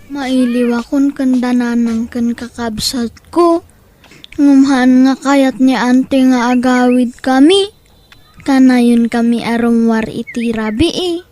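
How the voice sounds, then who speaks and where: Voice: mid-range voice, fairly even intonation, slow, a little mellow, lightly acted. A performer playing a weary, obedient young boy in an audio drama, with an Ilocano Filipino accent and a plaintive manner. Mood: weary, troubled.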